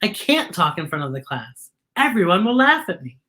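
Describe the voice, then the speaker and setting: A woman's voice: lowish voice, big pitch swings, normal speed, pushed and bright. A woman voicing a timid, upset little girl in a storybook, pleading and insistent.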